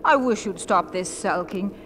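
An actress playing a proper, spirited widowed mother and author, with a British accent and crisp, prim delivery in period radio drama style. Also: medium pitch, moderate pace, a bit bright, slight background noise, lightly acted. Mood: spirited, exasperated. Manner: prim, crisp, indignant turns of phrase.

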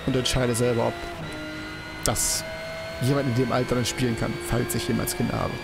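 A man's voice: medium pitch, flat delivery, fairly quick, vocal fry. A young man rambling casually while commentating a racing game, swearing and exclaiming at the track. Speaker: let's play host. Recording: game music playing behind the voice.

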